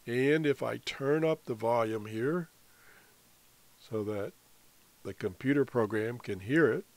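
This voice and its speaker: A man with a mid-pitched voice walks through a software tutorial. He speaks very slowly and haltingly, explaining each step off the cuff and pausing mid-sentence. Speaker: amateur radio hobbyist presenting a tutorial. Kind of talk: unscripted software screencast tutorial.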